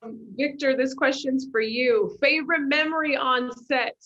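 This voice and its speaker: Dull, lo-fi call audio carries a woman's slightly high voice. She moderates casually, putting questions to a group. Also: moderate pace, fairly bright tone. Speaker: interviewer, moderator. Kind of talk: casual question-and-answer hosting. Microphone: video call.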